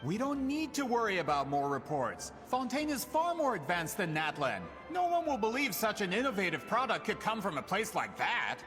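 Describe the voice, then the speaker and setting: A man's voice: sits high, very animated, normal speed, few pauses, fairly bright tone, faint music behind. An actor playing a greedy, scheming businessman villain in a video game, smug and condescending. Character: greedy scheming male printing tycoon.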